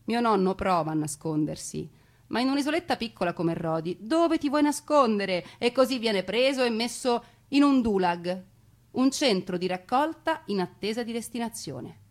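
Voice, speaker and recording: A woman giving a theatrical scripted narration as a stage storyteller, with dramatic, deliberate phrasing. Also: on the high side, lively intonation.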